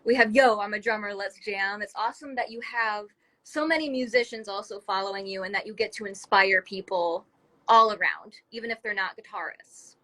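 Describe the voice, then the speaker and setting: Bright-voiced, effusive woman hosting. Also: medium pitch, fairly quick, low-quality recording. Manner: effusive, encouraging. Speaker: talk show host interviewing a guest. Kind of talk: casual livestream interview chat. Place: livestream video call from a phone.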